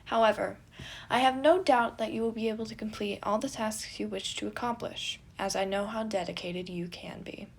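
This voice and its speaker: A bookish teenage adviser voiced by a woman from a storybook tale. Delivery is deadpan and formal, each phrase precise, with dry, logical wit. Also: medium pitch, moderate pace, gravelly, husky.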